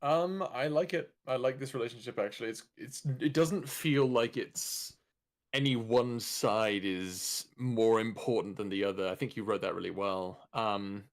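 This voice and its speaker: Guy voice actor in a casual chat, medium pitch, earnest n enthusiastic, bit halting. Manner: earnest, enthusiastic praise, hesitant phrasing.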